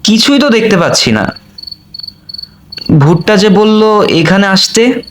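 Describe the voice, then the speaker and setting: A woman's voice: mid-range voice, animated, slow pace, background noise. A woman voicing a timid, helpful young brother in a cartoon horror story, with hesitant questions, recorded with echo. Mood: puzzled. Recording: echoey voice over loud music.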